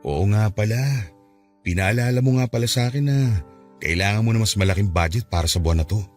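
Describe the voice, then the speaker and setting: A man's voice: lowish voice, quick pace, lightly acted. A man playing a middle-aged farmer, a strict but doting husband and father, in a radio drama. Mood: apologetic. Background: soft music bed.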